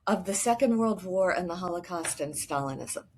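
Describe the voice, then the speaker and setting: A woman's voice: fairly low pitch, lively intonation, moderate pace, a little mellow, slight background noise. A woman guest, a historian, talking earnestly and thoughtfully, often restarting her sentences. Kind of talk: casual conversation.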